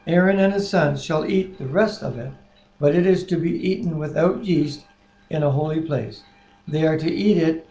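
A man in his sixties reading scripture aloud in a measured, deliberate way, with brief bits of chat. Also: on the high side, mellow, dull audio. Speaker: Bible reader and co-host. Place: living room at home, indoors. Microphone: webcam at arm's length. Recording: roomy, echoing webcam sound.

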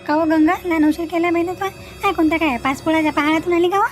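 A woman's voice: very high-pitched, steady tone, fast, soft background music. A woman playing a bossy, proud village mother-in-law in a comedy cartoon, nagging and emphatic. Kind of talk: Marathi comedy cartoon dialogue.

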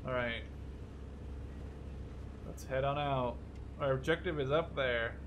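A young man giving casual, excitable gameplay commentary, swearing freely as he reacts out loud to the game. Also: medium pitch, takes it slowly, noisy background. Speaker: gaming video host. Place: small room at home, indoors.

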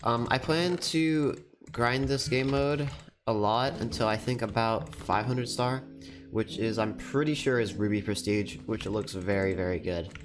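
Stuffy-nosed teenage gamer boy, low voice. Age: teens. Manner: chatty, upbeat, quick laughs. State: stuffy nose from a cold. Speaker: gaming YouTuber. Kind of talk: casual gameplay commentary. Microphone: desk microphone. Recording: music playing under the voice. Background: background music and keyboard typing.

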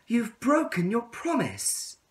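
Man putting on a high-pitched lovestruck girl caterpillar. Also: huge pitch swings, takes it slowly, distant mic, heavily acted. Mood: reproachful. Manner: sweet, reproachful. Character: lovestruck, demanding, wounded young female caterpillar. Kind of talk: children's storybook character voice.

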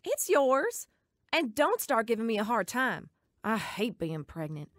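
A woman's voice: on the high side, sing-song, unhurried, dry recording, clean studio sound, faint music behind. An actress playing an irritable, demanding young girlfriend in a radio drama. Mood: testy.

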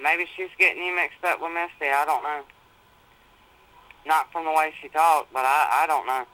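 A woman's voice: sits low, steady tone, moderate pace, pushed and bright, low-quality recording. A mother on the phone with her jailed daughter, patient and reassuring, explaining the court plans and calling her baby. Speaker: mother of the inmate. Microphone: telephone.

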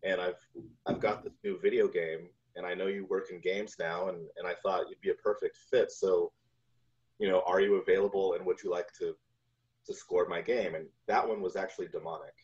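Male interview guest, 40s, very deep voice, relaxed storytelling style, lo-fi call sound. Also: brisk. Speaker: guest, video game composer. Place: home room on a video call. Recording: dull lo-fi video call audio.

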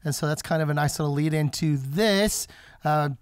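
A man hosting a podcast interview on location, chatting in a relaxed, teasing way. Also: on the high side, normal speed, smooth, no room sound, clean studio sound. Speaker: podcast host. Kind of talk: casual interview chat. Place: guest's studio, on location.

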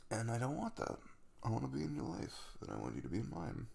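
Casting: male actor as affectionate young crush for ASMR roleplay; chatty, earnest, very lively pitch swings with a creaky edge. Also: fast, heavily acted. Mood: earnest, tender. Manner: rambling, playful, animated. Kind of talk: intimate ASMR roleplay, casual conversational acting.

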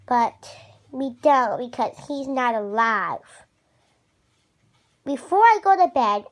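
A child or young teen acting out a homemade puppet show skit. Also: sits high. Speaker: puppeteer voicing all the characters. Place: bedroom at home. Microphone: handheld phone camera.

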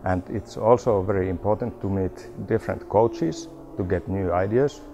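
Man, middle-aged, orchestra coach; low, gravelly, earnest, music bed beneath. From Finland. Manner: measured and earnest, with hesitations. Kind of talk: documentary interview.

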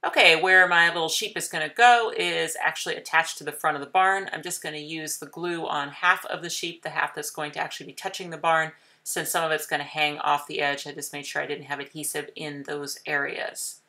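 A woman in her thirties calmly walking viewers through a papercraft project step by step, in a gentle, patient, explanatory way. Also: sits low, fairly quick, hardly any pauses. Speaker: craft tutorial host. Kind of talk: calm step-by-step craft demonstration.

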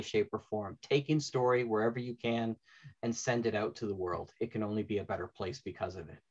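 A middle-aged man hosting a panel discussion on a video call, giving advice emphatically and repeating phrases for effect. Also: mid-range voice. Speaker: panel host and moderator. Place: indoor room, on a video call. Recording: dull, lo-fi video call audio.

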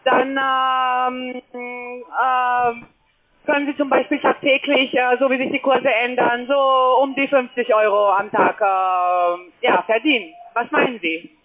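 A man making a phone sales call as a call center agent, speaking in a halting, hesitant way and searching for words. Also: very high voice, flat delivery. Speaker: call center caller selling crypto trading. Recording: muffled phone line, busy call-center chatter.

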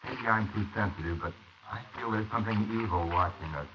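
An actor playing an anxious, idealistic struggling young artist in a 1940s radio play, jittery and brooding, with halting delivery. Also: male voice, fairly low pitch, natural voice. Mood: jittery, fearful. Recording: noisy, muffled 1940s wire recording.

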